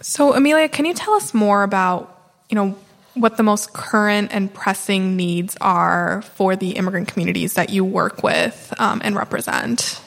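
Woman moderator, gravelly texture, gentle questioning. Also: medium pitch, normal speed. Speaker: panel moderator. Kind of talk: moderating a panel.

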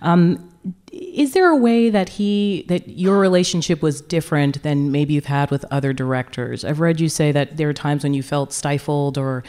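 A woman radio interviewer asking probing, curious questions in a relaxed conversational way, with some fillers. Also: sits low, moderate pace.

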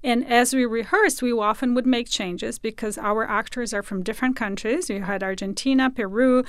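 A woman's voice: on the high side, steady tone, normal speed, clean tone, no echo, clean studio sound. A woman who produces radio, talking as a guest in a conversational interview.

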